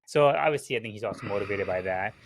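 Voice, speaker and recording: A man co-hosting a casual sports podcast, talking in an animated way as he riffs on teams and players. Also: lowish voice, quick pace, slight background noise. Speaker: podcast co-host.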